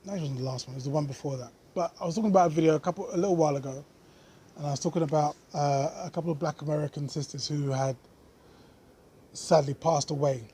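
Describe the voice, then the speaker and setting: Brief: male vlogger, slightly high and husky voice, earnest unscripted commentary. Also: warm tone. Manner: earnest, thoughtful, with frequent pauses and fillers.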